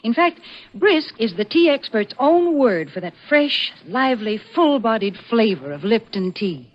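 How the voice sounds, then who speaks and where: A cheery, wholesome sponsor's saleswoman from 1940s radio. Her voice is fairly high and very animated, with neat, persuasive phrasing. The broadcast sounds old and slightly muffled. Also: unhurried. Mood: cheerful selling. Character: cheery, wholesome female tea saleswoman sparring with host. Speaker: sponsor's spokeswoman.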